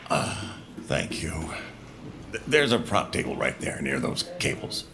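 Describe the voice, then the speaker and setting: An actor playing a shy, awkward engineer in an audio drama, stammering and hesitant. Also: male voice, rumbling low, big pitch swings, a little slow, gravelly, exaggerated voice. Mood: hesitant, helpful. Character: shy, awkward male engineer with a cyber arm. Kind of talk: naturalistic audio drama acting. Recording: busy crowd noise behind. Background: crowd chatter.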